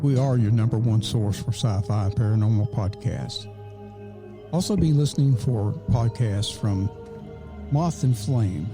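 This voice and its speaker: A man's voice: fairly low pitch, measured pace. A man hosting a podcast, giving a scripted introduction. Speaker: podcast host. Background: faint music.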